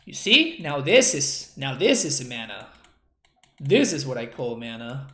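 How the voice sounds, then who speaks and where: A man's voice: mid-range voice, very animated, measured pace, pushed and bright, echoey room. A man from Argentina, a native Spanish speaker with a Spanish accent, casually commenting on his own play as a streamer, thinking aloud with wry, self-deprecating remarks and frequent restarts. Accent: Spanish-accented English.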